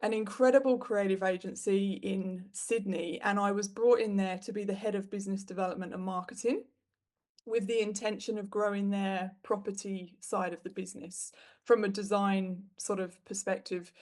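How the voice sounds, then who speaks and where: A woman's voice: mid-range voice, moderate pace. A woman in her thirties from the UK with a British accent, a podcast guest telling her career story frankly, with plenty of fillers. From United Kingdom. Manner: frank and animated, with frequent fillers. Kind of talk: candid conversational storytelling.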